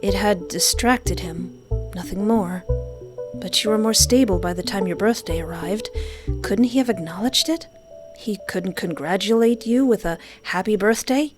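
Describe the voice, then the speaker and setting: A woman's voice: mid-range voice, normal speed. Woman narrating a game over music. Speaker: game streamer. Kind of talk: expressive read-aloud gameplay narration.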